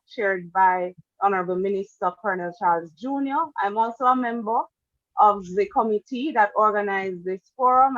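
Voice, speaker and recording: A woman's voice: mid-range voice, no room sound, dull audio. A woman, giving a composed, formal introduction as a youth committee member on a video call. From Jamaica.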